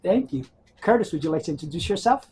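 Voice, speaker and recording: A man's voice: high-pitched, animated, fast, darkish tone, a little hiss or hum. A man working as an archivist, conducting an oral history interview in a friendly, encouraging way. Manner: friendly, encouraging, with many "you know"s.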